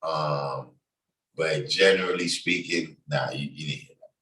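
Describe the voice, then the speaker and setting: Deep-voiced New York man, roomy and distant. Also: a little slow, bright, forceful tone. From New York City, Bronx. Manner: loose, conversational, many fillers. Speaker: livestream host. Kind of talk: casual livestream talk. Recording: echoey room, distant from mic.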